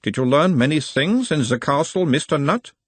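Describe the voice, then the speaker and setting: A man reading an audiobook does a calm male hypnotist with a mild Uberwaldian accent, pitched up above his usual voice. The questioner is soothing yet insistent, and the husky tone stays close and dry. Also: moderate pace, no echo.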